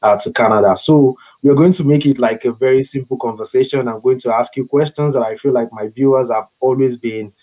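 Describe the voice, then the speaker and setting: A man hosting an online interview at an ordinary middle pitch. He talks haltingly, leaning on fillers and repeating words as he goes. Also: warm tone, rough recording. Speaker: host.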